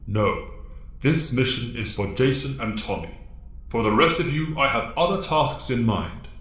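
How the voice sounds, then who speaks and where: An actor playing a stern, fatherly alien mentor in an audio drama, speaking formally and with measured authority. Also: medium pitch, expressive, fairly bright tone, reverberant. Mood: grave, instructive.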